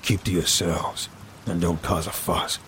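A man voicing a kindly old pack leader who is calm and protective. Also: low-pitched, measured pace, slight background noise. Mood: firm. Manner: calm, firm.

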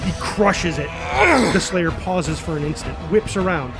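Male narrator for stage directions: high voice, dramatic and punchy, music clearly underneath. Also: measured pace, low-quality recording. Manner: vivid, punchy, voices sound effects.

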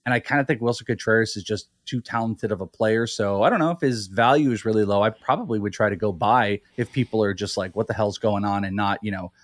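A man's voice: fairly low pitch, no echo, clean studio sound. A man co-hosting a sports podcast, chatting casually, teasing his partner and reeling off stats. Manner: teasing, quick, stat-heavy analysis. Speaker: podcast co-host.

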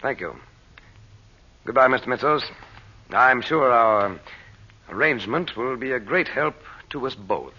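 An actor playing a courteous, guarded American gentleman adventurer, understated and evasive, in an old radio drama. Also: mid-range voice, lively intonation, measured pace, long pauses. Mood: politely firm. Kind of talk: 1930s-40s radio drama acting. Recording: muffled old radio broadcast recording.

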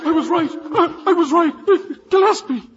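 An actor playing a nervous, wheedling, murderous Irish villager in a radio drama, with a lilting, stammering delivery. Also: male voice, very high-pitched, normal speed, a bit bright. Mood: agitated, stammering. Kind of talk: 1950s radio drama acting. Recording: dull old 1950s radio recording.

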